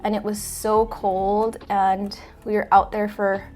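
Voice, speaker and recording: A woman's voice: mid-range voice, little pitch movement, takes it slowly, a little mellow, faint music behind. Chatty woman with a stuffy nose. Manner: chatty, rambling, self-correcting. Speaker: plant vlogger. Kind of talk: casual chat while rearranging plants. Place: apartment plant room.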